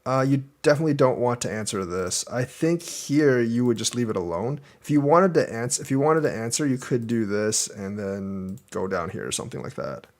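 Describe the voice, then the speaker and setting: A man's voice: medium pitch, lively intonation, normal speed, few pauses. Easygoing man talking through a board game. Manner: thinks aloud, explaining moves step by step. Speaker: Go teacher reviewing a game. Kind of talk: casual game review commentary.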